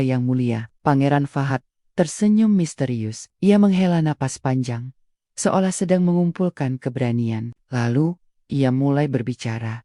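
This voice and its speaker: Dark, steady story narrator. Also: medium pitch, no echo, studio quality.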